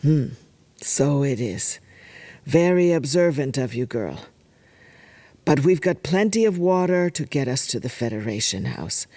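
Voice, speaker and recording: A woman playing a wise, kindly older village matron in an audio drama, measured, matter-of-fact and firmly encouraging. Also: extremely low pitch, expressive, warm tone, no echo, heavily acted. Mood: gently wry.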